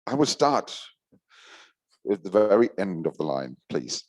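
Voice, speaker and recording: A man moderating a roundtable, brisk and directive. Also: deep voice, sing-song. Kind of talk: facilitating a roundtable.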